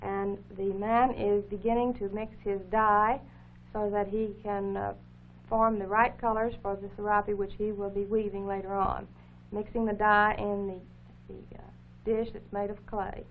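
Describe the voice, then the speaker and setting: A narrow, phone-like 1950s recording with a faint hum carries a woman's voice with little rise or fall. She explains what is being shown in a careful, formal way, pausing as she finds her words. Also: medium pitch, level tone. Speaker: co-host of an educational program. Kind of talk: unscripted explanatory commentary over film footage.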